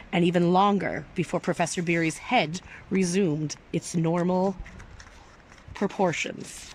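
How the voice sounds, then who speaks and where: A woman reading a story aloud expressively, with careful, theatrical phrasing. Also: fairly low pitch. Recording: clearly noisy background.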